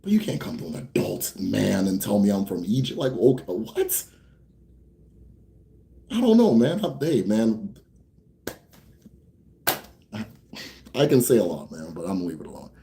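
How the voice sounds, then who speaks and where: A young American man hosting a casual Q&A for viewers. He talks bluntly, swears freely, rambles a bit, then slows down to explain. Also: lowish voice, sing-song, gravelly, warm tone, a little hiss or hum. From United States. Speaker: host answering viewer questions. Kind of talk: casual livestream Q&A.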